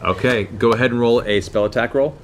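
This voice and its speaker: A man's voice: medium pitch, a little fast, heavy background noise. A man narrating a tabletop fantasy game as game master, with vivid descriptions and casual asides. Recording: loud music behind the voice.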